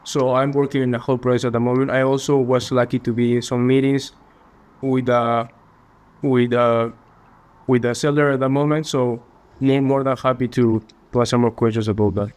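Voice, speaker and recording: A young man from Peru with a Peruvian Spanish accent, answering interview questions earnestly and a little haltingly. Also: mid-range voice, fairly even intonation, a little mellow, clean tone. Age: young adult. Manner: earnest, polite, slightly halting phrasing. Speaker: finance student guest.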